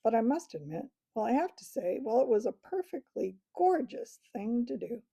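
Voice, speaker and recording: Woman doing a flustered schoolboy, old-timey slang, husky. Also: on the high side, very animated, moderate pace, warm tone, dry recording, voice pushed up, full character voice. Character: blustering English schoolboy.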